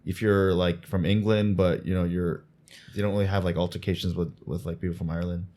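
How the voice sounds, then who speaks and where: A man's voice: sits low, flat delivery, brisk, warm tone. A man co-hosting a podcast, chatting casually and reacting in slangy, loose sentences. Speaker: podcast co-host. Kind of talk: casual chat.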